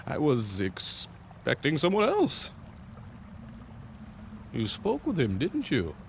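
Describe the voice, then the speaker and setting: An actor playing a cryptic, genteel time traveller in a video game, with formal, knowing, theatrical delivery. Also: male voice, medium pitch, huge pitch swings, drawn-out words, gravelly, slight background noise, exaggerated voice. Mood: wry, warning. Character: cryptic, genteel male time traveller, playful yet insistent. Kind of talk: dramatic video game acting.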